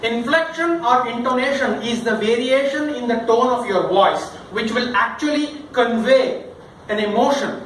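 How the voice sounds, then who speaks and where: A man with a high voice leads a public-speaking workshop. His delivery is emphatic and theatrical, pitched to a group and often questioning. He is heard from a distance in a very reverberant room with clear background noise. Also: normal speed, fairly bright tone. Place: indoor session room with audience.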